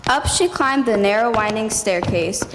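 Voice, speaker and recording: Female narrator for staged fairy tale, slightly high voice, recorded in a ringing hall. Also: expressive, moderate pace. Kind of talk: scripted fairy-tale narration, readers theatre. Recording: noisy hall with long reverb.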